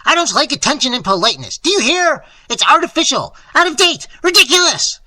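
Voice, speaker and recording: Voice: mid-range voice, wildly swooping pitch, normal speed, bright, forceful tone, husky, dry recording, exaggerated voice. Narrator acting out an explosive, barking old painter. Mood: furious, shouting. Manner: explosive, barking, bawling delivery. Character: irascible older male painter, ranting, contrarian, craving quarrels.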